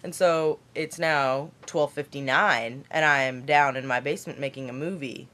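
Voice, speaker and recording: A teenage girl from Kentucky with a deep voice for a girl, rambling chattily in a late-night vlog from her basement. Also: moderate pace, a bit bright. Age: teens. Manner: chatty, rambling, lots of fillers and put-on voices. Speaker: vlogger.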